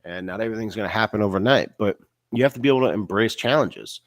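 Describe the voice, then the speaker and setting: A man's voice: on the low side, fast talker, dry recording, clean studio sound. A man with a cold, sounding stuffy and nasal, giving a casual faith-based motivational talk full of "you know" and "right?" fillers. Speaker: online business vlogger.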